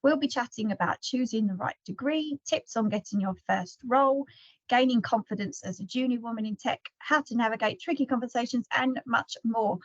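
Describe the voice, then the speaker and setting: An upbeat woman hosts a panel talk with a fairly high voice. She sounds close and dry, with no room around her. The line is dull and lo-fi. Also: a little fast, no echo. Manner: upbeat, welcoming. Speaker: podcast host.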